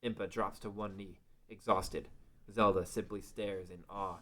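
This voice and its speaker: A man's voice: deep voice, fairly even intonation, unhurried, a bit bright. A man narrating a scripted fantasy story as the narrator of a table read.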